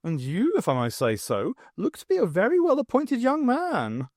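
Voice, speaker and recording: A man voicing an eager, flattering dwarf in an audiobook, loud and gushing. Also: high-pitched, moderate pace, no room sound, clean studio sound, pitched above the performer's own voice, full character voice.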